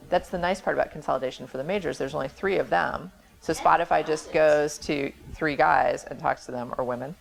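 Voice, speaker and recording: Low-voiced woman with some creak. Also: rough recording. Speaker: record label president, interview guest. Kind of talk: informal explanatory interview talk.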